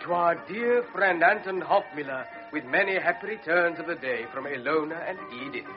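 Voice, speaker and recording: An actor playing a jovial, teasing army officer among friends. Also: male voice, fairly high pitch, lively intonation, moderate pace, close to an everyday voice. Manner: mocking, jovial. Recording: dull old radio recording with music.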